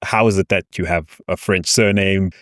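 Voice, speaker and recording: A man's voice: low voice, fairly even intonation, moderate pace, no echo, studio quality. A man hosting a podcast interview, asking long, thoughtful, winding questions. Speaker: podcast host.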